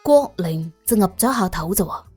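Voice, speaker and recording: A woman narrating a suspense audiobook in an expressive, colloquial storytelling style. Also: fairly low pitch, normal speed, slightly rough, no echo. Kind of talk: scripted suspense storytelling in Cantonese. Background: music under the voice.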